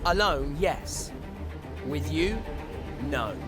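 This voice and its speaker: A man's voice: fairly high pitch, animated, very slow. An actor playing a determined, earnest teenage boy wizard in a video game. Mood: firm. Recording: music behind the voice.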